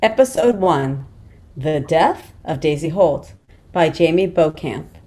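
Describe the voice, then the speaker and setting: A woman's voice: deep voice, animated, slow pace, warm tone. A woman reading script directions in a plain, matter-of-fact way at a table read. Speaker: stage directions reader.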